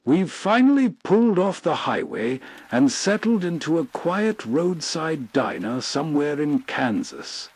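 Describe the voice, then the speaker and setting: A man's voice: fairly high pitch, big pitch swings, measured pace, hardly any pauses, breathy edge. A man hosting with scripted, atmospheric narration, setting the scene in a soothing storytelling manner. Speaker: host.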